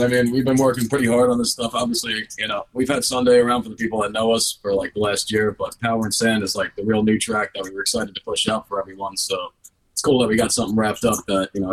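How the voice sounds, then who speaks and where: A man who plays drums in a band, soft-voiced, joking around during a casual interview. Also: fairly low pitch, slightly rough, rough recording. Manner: jokey, quick with wisecracks. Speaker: band drummer.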